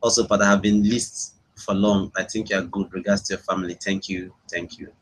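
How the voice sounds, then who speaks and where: A man's voice: deep voice, little pitch movement, moderate pace, breathy edge, low-quality recording. A man with a Nigerian accent hosting a casual live webinar, chatting with his online audience and often repeating his phrases. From Imo State, Nigeria. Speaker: webinar host, internet marketing coach.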